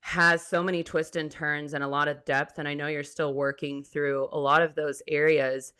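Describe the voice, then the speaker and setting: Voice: medium pitch, level tone, normal speed, bright, forceful tone, clear, resonant tone. A podcast host introducing a guest in a casual, earnest, rambling way, full of "like". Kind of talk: casual interview chat.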